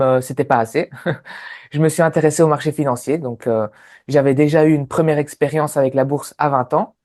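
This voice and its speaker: Man with a Sicilian accent, mellow voice. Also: mid-range voice. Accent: Sicilian Italian. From Sicily, Italy. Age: 30s. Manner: many hesitant fillers. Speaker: interview guest. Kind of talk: relaxed personal story.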